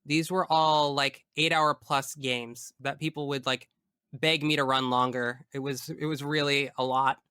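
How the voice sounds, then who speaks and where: A man talks casually as an interview guest, with a bright, smooth voice. He is expressive and emphatic, full of enthusiasm. The sound is dry and close, without room echo. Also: mid-range voice, measured pace. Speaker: tabletop game master. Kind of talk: casual interview chat about personal experience.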